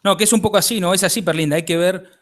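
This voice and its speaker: A man's voice: sits high, rapid-fire, a bit bright. A man with an Argentine accent, a guest author in an interview, explaining at length and checking in with his listener. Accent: Argentine Spanish. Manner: explanatory, lecturing, checks in with "¿bien?".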